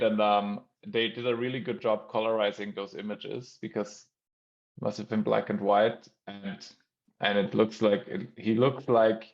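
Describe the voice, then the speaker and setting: A man from Austria talking in a relaxed, plain-spoken way during a podcast discussion. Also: on the low side. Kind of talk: casual discussion. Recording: dull, lo-fi sound.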